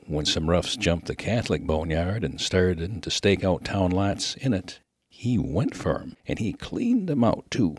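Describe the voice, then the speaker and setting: A man voicing a rough, good-natured Old West miner with a rustic American accent and a slangy, emphatic way of talking in a humorous story. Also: deep voice, no echo, studio quality. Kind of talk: dramatic reading of a humorous story.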